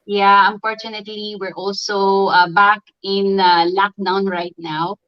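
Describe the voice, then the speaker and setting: Slow, hesitant woman hosting a livestream. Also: medium pitch, level tone, lo-fi sound. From Philippines. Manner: hesitant, many ums and restarts. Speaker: livestream host. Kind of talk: live online interview.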